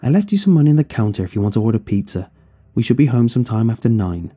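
A man's voice: mid-range voice, sing-song, quick pace, mellow, husky. A man voicing a stern, no-nonsense mother with a firm, scolding manner in a dramatized story. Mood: calling out. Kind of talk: scripted story dialogue.

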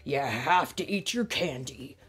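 A woman's voice: a bass voice, lively intonation, measured pace, breathy edge, voice pushed down, full character voice. A woman voicing a father pea from a children's storybook, a firm parent giving instructions.

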